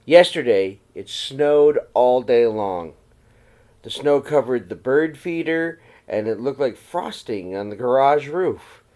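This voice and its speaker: Man reading a storybook aloud, very varied pitch. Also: expressive, slow pace, a little mellow. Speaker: teacher reading aloud to young children. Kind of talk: read-aloud storybook narration.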